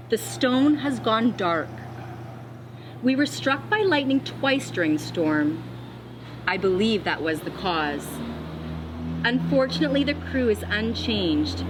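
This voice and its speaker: A woman schoolteacher reading a children's storybook aloud, expressively and deliberately, with dramatic pauses. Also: on the high side, lively intonation, noisy background. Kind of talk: read-aloud of a children's storybook with teacher asides.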